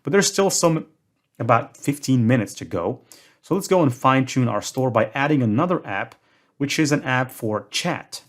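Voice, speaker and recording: A man's voice: medium pitch, lively intonation, moderate pace. A young man from Morocco hosting a screen-recorded tutorial, talking in a casual way with self-deprecating jokes. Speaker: tutorial host.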